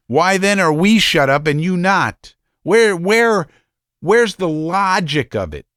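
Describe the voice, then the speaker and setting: A man voicing an agitated young male asylum patient who is bitter and scornful, shouting and laughing mockingly. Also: high voice, very animated, slow, dry recording, clean studio sound, exaggerated voice.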